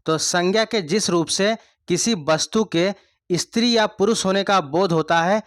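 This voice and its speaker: A man with a fairly high voice leads an exam coaching lesson. He goes over each point again and again and quizzes his listeners with rhetorical questions. The sound is dry and close, with no room echo. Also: measured pace, a bit bright. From India. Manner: repeats points, asks the class rhetorical questions. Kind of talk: online Hindi grammar lesson.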